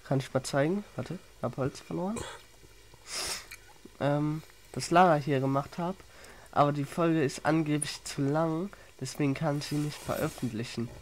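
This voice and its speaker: A man's voice: a little high, moderate pace, rough recording. Male gamer with a stuffy cold, plain chatty narration over a faint background hiss. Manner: plain, chatty, explains as he plays. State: has a cold, runny nose. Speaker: Minecraft YouTuber. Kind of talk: casual gameplay commentary.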